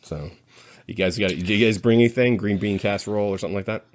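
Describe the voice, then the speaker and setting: A man with a very low voice hosts a relaxed group chat. He rambles and hesitates, feeling his way through each thought in casual, unpolished talk. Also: fast. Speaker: podcast host.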